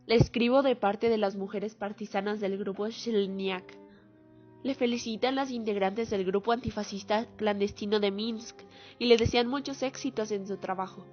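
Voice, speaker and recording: A woman acts out a solemn female veteran bearing witness in a radio play. Her pitch is average for a woman. Faint music runs behind the slightly dull recording. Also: fairly even intonation, moderate pace, natural voice. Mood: formal greeting. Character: female war veteran, solemnly testifying to atrocities. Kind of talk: dramatic radio monologue. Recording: muffled lo-fi audio under music.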